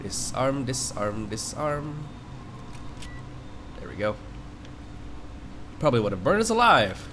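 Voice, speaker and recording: A man with a stuffed-up nose from a cold rambles through casual gameplay commentary, reacting to the screen as he plays. His pitch jumps around a lot, and a faint hiss sits under the voice. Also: big pitch swings, takes it slowly, pauses often. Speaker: let's play host.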